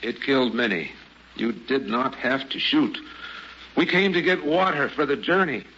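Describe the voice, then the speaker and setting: An actor playing a stern, solemn renegade outlaw in an old-time radio drama, with firm, formal phrasing. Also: male voice, medium pitch, normal speed, bright, forceful tone. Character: stern bearded human renegade leading Martians, sorrowful. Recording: dull old radio recording, faint noise.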